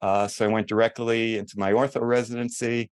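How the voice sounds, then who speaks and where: A man's voice: mid-range voice, level tone, brisk, clean tone, dry recording, clean studio sound. A man in his seventies with a New York accent, chatting casually and rambling a little as a podcast guest. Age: 70s. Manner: chatty, rambling, self-deprecating humor. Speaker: guest orthodontist.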